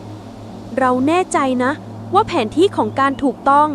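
Narrator woman as anxious young female hiker, storybook, very high pitch, hesitant, clear noise. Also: lively intonation, a little slow. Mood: worried.

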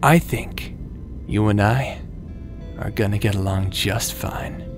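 A man acting as a taunting, manipulative villain in a dramatic audio roleplay, with a drawn-out, mocking delivery. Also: lowish voice, music in the background. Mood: coaxing. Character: taunting male villain, manipulative, mocking, coldly friendly tempter.

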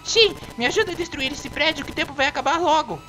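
Naive female cartoon rabbit creature, played by a woman, Brazilian accent: extremely high, bright, whiny. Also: fast, soft background music. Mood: exasperated. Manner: earnest, whiny, protesting. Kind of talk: cartoon fandub acting.

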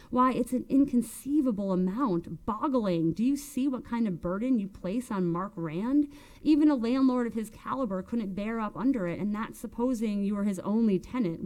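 A woman's voice: medium pitch, lively intonation, normal speed, darkish tone, natural voice, poor audio. A woman acting a bitter, hectoring, domineering woman. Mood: scolding. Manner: scolding, emphatic, rhetorical questions. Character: bitter, hectoring, domineering older woman berating Melba by phone.

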